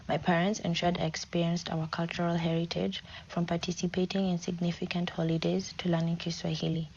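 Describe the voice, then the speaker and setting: Kenyan young woman, flat steady reading. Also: lowish voice. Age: 20s. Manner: steady, read-out delivery. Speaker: student. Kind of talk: scripted personal presentation. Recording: dull lo-fi recording with faint background noise.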